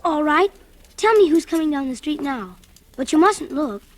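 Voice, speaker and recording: An actor playing an eager young boy in an old radio drama, speaking high and quick. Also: expressive. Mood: curious. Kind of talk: 1940s radio acting.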